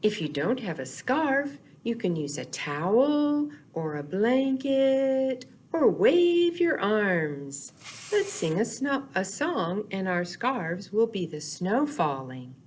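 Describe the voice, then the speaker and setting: Very slow, lively woman hosting children's storytime. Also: medium pitch. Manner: sing-song, simple phrasing aimed at young children. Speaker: children's library storytime host. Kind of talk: children's storytime with read-aloud, songs and questions.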